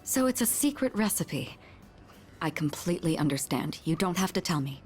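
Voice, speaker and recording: A polite, well-bred young woman from a video game, with a lively, melodic delivery. She is earnest and naively curious, choosing formal words and the odd French phrase. Faint music plays behind her. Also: fairly low pitch, moderate pace. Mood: delighted, polite.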